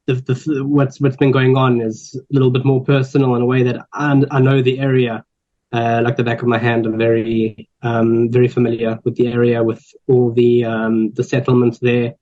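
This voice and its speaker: A man's voice: mid-range voice, darkish tone. A man in his twenties from South Africa, with a South African accent, introducing himself on a panel, earnest and full of ums and restarts. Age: 20s. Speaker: panel speaker, volunteer. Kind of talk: personal introduction at a panel talk. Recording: slightly dull lo-fi audio.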